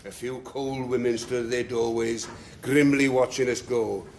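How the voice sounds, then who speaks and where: A man giving a talk to a local group and reading passages aloud with slow, dramatic pacing. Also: mid-range voice, fairly even intonation, darkish tone, slight background noise. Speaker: speaker at a talk. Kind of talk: lecture with readings.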